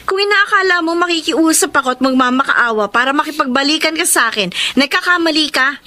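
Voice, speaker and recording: A woman's voice: high-pitched, expressive, fairly quick, bright, forceful tone. An actress playing a hurt, angry young jilted woman in a melodramatic Filipino radio drama. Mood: bitter, angry.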